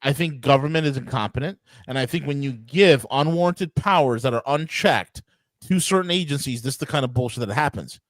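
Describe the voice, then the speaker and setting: A man's voice: mid-range voice, fairly quick, no echo. A man with a New York accent arguing emphatically on a podcast, often restarting and stammering in exasperation. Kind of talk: heated podcast debate.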